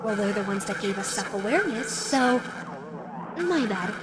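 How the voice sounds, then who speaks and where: A woman's voice: mid-range voice, moderate pace, over a noisy crowd, lightly acted. A woman voicing a playful, lonely female animatronic fox in a roleplay audio drama, chatty, coy and teasing as she talks to the listener. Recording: loud steady background noise.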